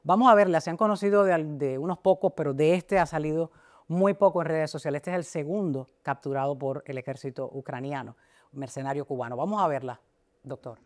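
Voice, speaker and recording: A woman's voice: deep voice, brisk, darkish tone. A woman speaking as the host of a broadcast interview.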